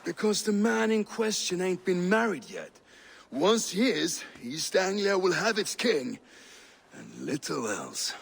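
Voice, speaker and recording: An actor playing a world-weary, cynical Danish steward with a sardonic drawl in a video game scene. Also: male voice, high voice, big pitch swings, unhurried, gravelly, husky, exaggerated voice. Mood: wry, grudging. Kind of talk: dramatic video game acting.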